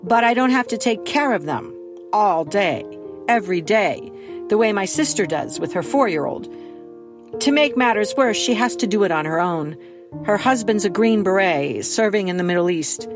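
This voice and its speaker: A middle-aged woman, an author, earnestly introducing an audio drama episode with a measured, scripted delivery. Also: medium pitch, animated. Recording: music under the voice.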